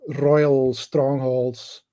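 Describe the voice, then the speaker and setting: A middle-aged Belgian man with a Flemish accent, speaking English as a second language with Dutch as his mother tongue. He calls in to a chat and talks in a halting way, full of restarts and fillers, with an ordinary middle-pitched voice. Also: little pitch movement, mellow. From Belgium, Dutch-speaking part. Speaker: caller. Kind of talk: casual call-in chat.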